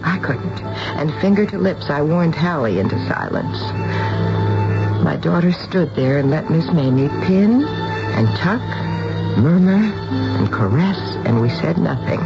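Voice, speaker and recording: Tactful, protective mother, lowish voice, radio drama, muffled sound with music underneath. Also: animated, a little slow, vocal fry, pauses often, full character voice. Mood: reflective, troubled. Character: gentle, anxious mother, middle-aged, tactful and protective. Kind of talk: radio drama acting with first-person narration. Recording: dull radio recording with music behind.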